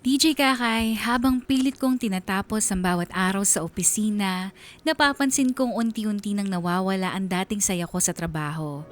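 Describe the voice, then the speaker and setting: A woman's voice: mid-range voice, normal speed. A woman with a mid-range voice narrates a personal letter in the first person. Her delivery is scripted, sorrowful and confiding.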